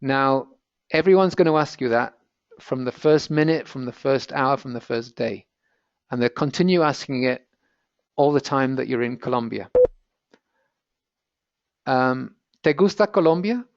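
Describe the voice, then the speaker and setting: A man's voice: medium pitch, unhurried, long pauses, dry recording, lo-fi sound. A British man with a British accent, presenting an encouraging, patiently explained language lesson in a webinar. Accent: British English. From Britain.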